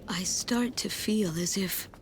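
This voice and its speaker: A woman acting a sorrowful, determined mother in a war-torn land, narrating in a hushed, reflective way for a game. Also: mid-range voice, takes it slowly, a little hiss or hum, lightly acted. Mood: weary resolve. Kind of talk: scripted dramatic narration.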